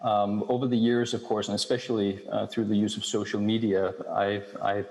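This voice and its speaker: Low, flat-voiced man in an echoing hall. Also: vocal fry. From Denmark. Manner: measured, hesitant with frequent fillers and restarts. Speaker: keynote speaker, researcher. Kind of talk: academic lecture and Q&A answer. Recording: long hall reverb.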